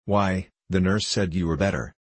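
A man's voice: deep voice, steady tone, normal speed, breathy edge, dry recording. A man voicing a cheery, professional female hospital nurse who reassures and coaxes patients. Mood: playful.

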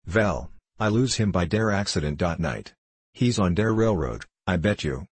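A man's voice: low voice, animated, normal speed, slightly rough, dry recording. A man voicing a stubborn, ailing old German railroad worker with a growling voice, a thick German accent and broken English. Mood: plaintive. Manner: blunt, grumbling, broken English.

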